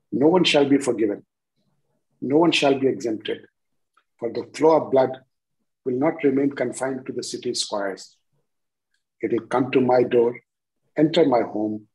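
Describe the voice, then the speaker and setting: A man in his sixties with an Indian accent introducing and reciting his own poems deliberately, in short phrases with pauses. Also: mid-range voice, poor audio. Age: 60s. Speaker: poet reading own work. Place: indoors at home on a video call. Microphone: video-call device microphone.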